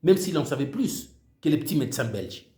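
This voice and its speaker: A man's voice: on the high side, sing-song, fairly quick, mellow, echoey room. An older man with a Congolese French accent, whose first language is Swahili, giving an impassioned political monologue with emphatic repetitions. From Democratic Republic of the Congo. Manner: emphatic, repeats words while searching for them. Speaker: political speaker.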